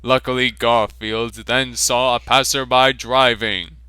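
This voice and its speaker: A man narrating an amateur fan fiction reading in a slow, amused, deadpan way. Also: medium pitch, clean tone, no echo.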